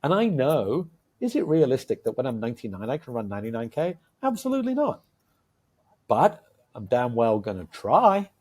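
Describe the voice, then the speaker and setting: A husky-voiced man in his fifties chats on a podcast with real enthusiasm. He tells stories in a loose, rambling way, with plenty of "uh"s and sudden jumps in excitement. His pitch sits in the middle for a man, with an edge of breathiness. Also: normal speed. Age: 50s. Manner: enthusiastic, animated storyteller with many fillers. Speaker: podcast co-host. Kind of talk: casual podcast chat.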